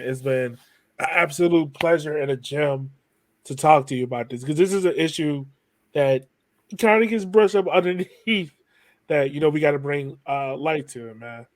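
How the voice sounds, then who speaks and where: A man's voice: on the high side, moderate pace, no room sound. A man hosting a podcast, chatting casually and informally, with effusive turns of phrase. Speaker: podcast host. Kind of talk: casual interview chat.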